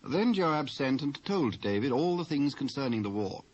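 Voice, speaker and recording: A male narrator with a British accent reads scripture from a script. His voice is husky and of medium pitch. The recording is a dull, aged cassette. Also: sing-song, normal speed, darkish tone. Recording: dull old cassette tape.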